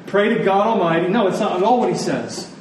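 A man preaching a sermon in an earnest, emphatic manner, repeating key phrases with deliberate pauses. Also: high voice. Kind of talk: sermon to a congregation. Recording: very echoey room, distant mic, faint noise.

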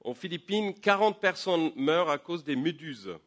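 A man preaching a sermon while he has a head cold. He talks conversationally, with many fillers and repeated words, and his voice climbs higher and louder as he builds to a point. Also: animated, normal speed. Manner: rises in pitch and volume when building to a point. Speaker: pastor. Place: church.

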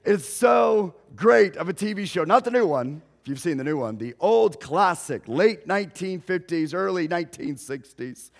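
A male pastor, telling a story in a sermon slowly and deliberately, raising his voice at dramatic moments. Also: high-pitched, animated, gravelly. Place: church sanctuary. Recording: long hall reverb.

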